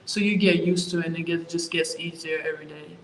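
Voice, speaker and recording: A young woman from Ukraine answering interview questions about her move and her sport, talking in a relaxed way with lots of fillers. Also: low voice, brisk, slight background noise. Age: young adult. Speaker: college basketball player, interview guest. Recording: roomy with faint ambience.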